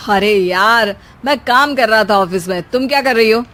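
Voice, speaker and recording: A woman's voice: on the high side, moderate pace, fairly bright tone, natural voice. A woman voicing a bossy young husband in a cartoon who keeps making joking remarks to his wife. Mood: teasing. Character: bossy teasing young husband, office worker. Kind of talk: cartoon voice acting.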